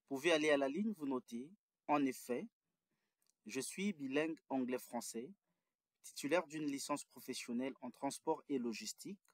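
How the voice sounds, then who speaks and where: A man's voice: medium pitch, no room sound. A man giving a step-by-step lesson on writing an internship application, restating his points carefully. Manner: methodical, repeats and restates points. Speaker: instructor. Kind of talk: tutorial on writing job applications.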